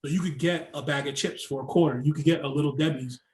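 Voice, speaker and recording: A man's voice: on the high side, fairly even intonation, fast talker, darkish tone. Rambling New man in an echoey room. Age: 30s. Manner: storytelling, rambling, with frequent "like" fillers. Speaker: guest. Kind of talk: casual podcast conversation. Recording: roomy echo.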